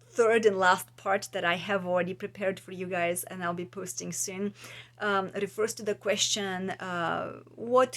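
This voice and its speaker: A woman talks casually and reflectively to viewers, musing and often searching for her words. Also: mid-range voice. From Bulgaria. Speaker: vlogger and podcast host; trauma practitioner.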